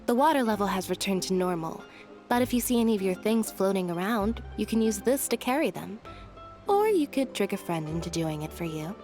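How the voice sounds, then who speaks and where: An actress voices a young woman magician's assistant in an anime game. She is deadpan and matter-of-fact at a middling pitch. Faint music runs beneath her. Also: a little fast, lightly acted. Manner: matter-of-fact, dry, teasing. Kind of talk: anime-style video game voice acting.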